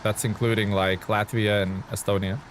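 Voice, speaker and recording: A man's voice: fairly low pitch, level tone, moderate pace, a little hiss or hum, rough recording. Chatty Lithuanian-born man streaming a game. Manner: loose and chatty, reads viewer comments aloud, many fillers. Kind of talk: casual live-stream chat.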